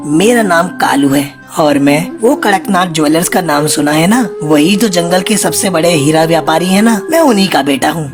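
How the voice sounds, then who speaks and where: A woman's voice: fairly low pitch, animated, moderate pace, few pauses, music in the background, lightly acted. A woman voicing an eager, forward young male bird in a children's cartoon. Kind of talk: Hindi children's cartoon dubbing.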